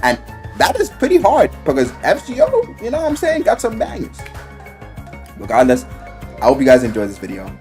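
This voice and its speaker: A man giving an animated, casual video game review as a vlogger, with excitable, slangy, profane delivery. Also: a little high, brisk, faint music behind.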